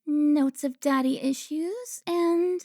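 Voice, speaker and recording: Woman playing a high-voiced, taunting seductive vampire. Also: mellow, no echo, studio quality. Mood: mock-refined, teasing. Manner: taunting, drawn-out, theatrical. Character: teasing, seductive female vampire informant, haughty and playful. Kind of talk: cinematic audio roleplay acting.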